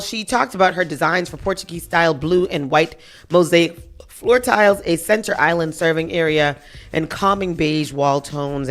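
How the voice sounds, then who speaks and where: A woman hosting a morning radio talk show, chatting and reading local news aloud with asides. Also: sits low. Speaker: radio host.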